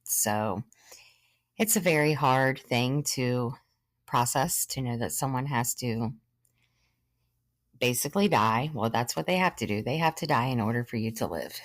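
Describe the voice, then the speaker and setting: Earnest woman in her thirties, very deep voice. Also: animated, no echo, studio quality. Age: 30s. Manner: earnest, measured, with pauses. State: short of breath at times. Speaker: podcast host. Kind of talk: personal testimony monologue.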